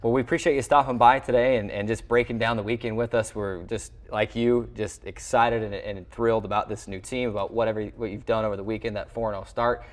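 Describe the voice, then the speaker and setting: Male sports host, somewhat low voice, conversational broadcast interviewing. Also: fairly even intonation, fast talker, few pauses. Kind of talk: sports talk show interview.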